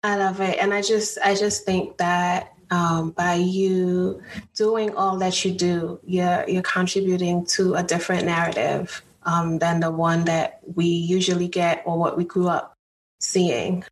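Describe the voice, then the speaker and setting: A woman's voice: on the low side, flat delivery, a little slow. A woman hosting an interview, asking questions in an enthusiastic, encouraging way. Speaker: interview host. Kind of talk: casual interview chat. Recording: distant mic with faint background noise.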